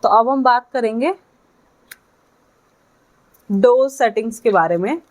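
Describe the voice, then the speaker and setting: A woman with an Indian accent giving a lecture to students, speaking in short halting phrases and checking in with her listeners. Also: fairly high pitch. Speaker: physiotherapy lecturer.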